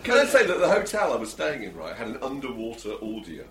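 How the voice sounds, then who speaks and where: A man co-hosting a podcast, telling animated anecdotes in casual chat and laughing often. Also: mid-range voice, fast talker. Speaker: podcast co-host.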